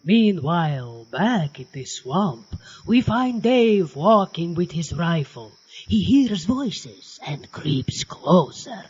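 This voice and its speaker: A man's voice: high voice, very animated, slow, darkish tone. A man reading scripted narration for a campy radio drama. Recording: dull lo-fi, music underneath.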